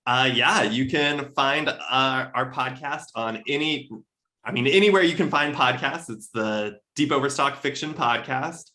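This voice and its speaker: Bright-voiced man chatting about his podcast. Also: medium pitch, unhurried. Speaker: podcast co-host appearing as guest. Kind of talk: casual interview conversation.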